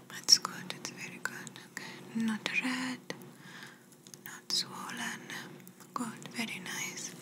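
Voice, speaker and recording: A woman playing a gentle, reassuring doctor in an ASMR exam roleplay, speaking in a soft breathy whisper with slightly phrasing. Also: on the high side. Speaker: ASMR performer. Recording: noisy close-up whisper recording.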